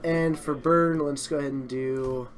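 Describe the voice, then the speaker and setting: Excitable male stream host, fraying voice. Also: on the high side, measured pace, a crowd in the background. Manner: chatty, excitable, self-deprecating. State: losing his voice. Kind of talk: live card game stream commentary.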